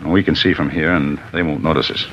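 An actor playing a steady district attorney in a 1950s radio drama, calm and clipped. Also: male voice, extremely low pitch, brisk. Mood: firm. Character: steady middle-aged male district attorney. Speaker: district attorney, lead detective. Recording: dull, very hissy 1950s radio broadcast.

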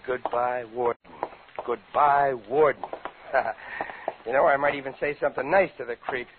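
An actor playing a tough, cocky veteran safecracker with brash, slangy talk in a 1950s radio crime drama. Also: male voice, mid-range voice, fairly even intonation, moderate pace, close to an everyday voice. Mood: elated, sarcastic. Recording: scratchy, noisy, narrowband 1950s radio recording.

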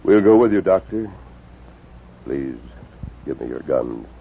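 Casting: masked cowboy lawman, extremely low voice, calm authority, measured and firm, muffled old radio sound. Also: gravelly, heavily acted. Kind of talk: 1950s radio western acting. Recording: muffled, hissy 1950s radio recording.

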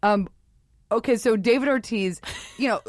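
A woman co-hosting a casual chat, brisk and enthusiastic as she steers the talk. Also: fairly high pitch, no echo, clean studio sound. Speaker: podcast co-host.

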